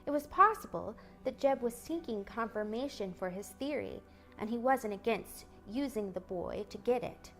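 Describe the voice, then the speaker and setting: A woman narrating a novel in the first person, soft and measured. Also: fairly high pitch, animated, faint music behind. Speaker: audiobook reader.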